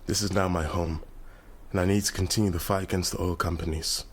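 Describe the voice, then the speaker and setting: A man's voice: low voice, fairly even intonation, fairly quick, breathy edge. An actor playing a bitter, defiant young man, a proud activist son who speaks in an accusatory, declamatory way in a radio drama. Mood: resolute. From London, England. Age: young adult. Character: defiant, bitter grown son turned Nigerian oil-rights militant.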